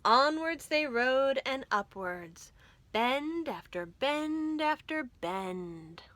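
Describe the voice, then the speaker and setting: A woman narrates a rhyming picture book for children with expressive, rhythmic phrasing. Her voice is fairly high and very animated, captured close and dry. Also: dry recording. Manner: deliberate, rhythmic verse reading. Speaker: library storytime reader.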